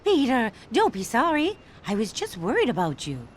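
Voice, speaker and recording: A kindly older aunt, gentle. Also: female voice, fairly high pitch, huge pitch swings, normal speed, a little hiss or hum. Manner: warm, fussing, gentle. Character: kindly elderly aunt, caring.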